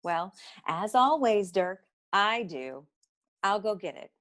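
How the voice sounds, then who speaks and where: An actress playing a brisk, know-it-all detective agency secretary in a noir comedy audio play, curt and dryly superior. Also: female voice, medium pitch, expressive, a bit bright. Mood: matter-of-fact, superior.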